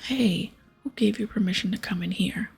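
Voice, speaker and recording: A woman's voice: mid-range voice, normal speed, faint music behind. A woman voicing a grumpy, evasive old man from a game, in a hesitant, huffy ASMR whisper. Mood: huffy, defensive. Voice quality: breathy whisper.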